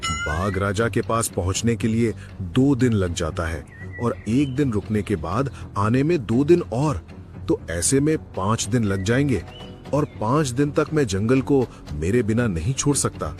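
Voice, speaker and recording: A man voicing a trusting, authoritative lion king with an expressive, caring manner in an animated moral story. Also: fairly low pitch, a little slow, faint music behind. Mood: concerned.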